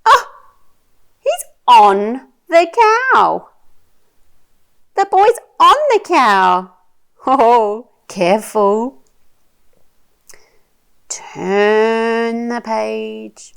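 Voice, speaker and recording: Woman as playful, wonder-filled picture-book reader for toddlers: very slow, sing-song, wildly varied pitch. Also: big pitch swings, fairly bright tone, heavily acted. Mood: delighted, playful. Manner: sing-song, exaggerated, drawn-out words. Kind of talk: picture-book read-aloud for babies.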